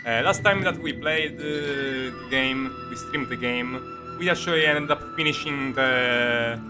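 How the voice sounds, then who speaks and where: Halting Italian-accented man streaming over music. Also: medium pitch. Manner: halting, rambling, self-correcting delivery. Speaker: game livestreamer. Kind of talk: casual gaming stream commentary. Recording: music playing behind the voice.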